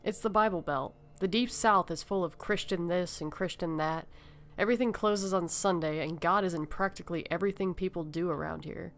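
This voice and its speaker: Low-voiced, sassy young woman survivor , acted. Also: a little fast, fairly bright tone. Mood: wry. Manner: sassy, blunt, sarcastic. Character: brash, teasing young woman survivor named Amber. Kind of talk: amateur audio horror story acting. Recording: music behind the voice.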